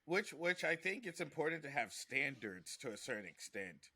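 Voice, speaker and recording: A man's voice: a little high, sing-song, moderate pace, bright, forceful tone, husky. A man in casual podcast conversation, talking emphatically and often repeating himself as he makes his point.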